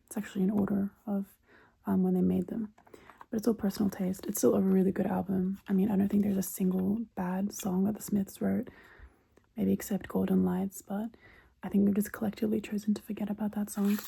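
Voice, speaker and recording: A woman speaking in an intimate, unhurried, breathy whisper, chatting casually in ASMR style as a shopkeeper. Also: mid-range voice, little pitch movement. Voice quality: breathy whisper, mostly unvoiced. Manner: unhurried, soft-spoken, intimate. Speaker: ASMR roleplay host as cassette shop keeper.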